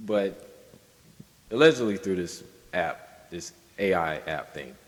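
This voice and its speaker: A man co-hosting a podcast in casual discussion, halting and circling back over his points. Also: sits low, expressive, in a large hall. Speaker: podcast co-host.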